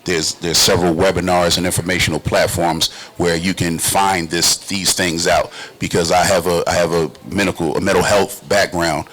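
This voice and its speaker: Deep, husky man interviewing. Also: little pitch movement, normal speed, few pauses, a bit bright, rough recording. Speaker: panel interviewer, youth worker. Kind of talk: conversational interviewing.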